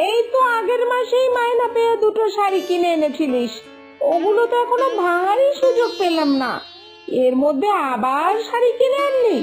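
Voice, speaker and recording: A woman voicing a loving but firm village mother in an animated moral story, scolding and coaxing in a motherly way. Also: very high-pitched. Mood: mock scolding.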